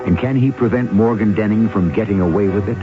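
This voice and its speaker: A very deep-voiced storyteller, a man acting as host narrates a radio mystery in deliberate, ominous phrases. Prominent music plays behind the dull vintage sound. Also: lightly acted. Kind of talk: suspenseful 1970s radio drama narration.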